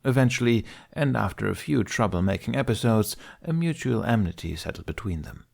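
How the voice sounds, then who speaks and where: A man's voice: on the low side, lively intonation, brisk, gravelly. A man reading a story aloud as an audiobook narrator in a scripted, storytelling style.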